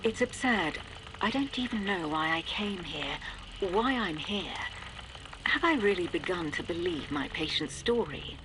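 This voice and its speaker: A woman's voice: on the low side, lively intonation, measured pace, noisy background. A woman playing an earnest, uneasy child therapist in a video game. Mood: self-doubting.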